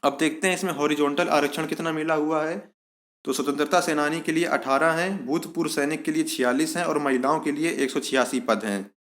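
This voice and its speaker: A man's voice: on the high side, fairly even intonation, brisk, a bit bright. A man giving an instructional walkthrough of an official notice, repeating key points for emphasis. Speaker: exam information channel host. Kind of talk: explanatory walkthrough of a recruitment notice.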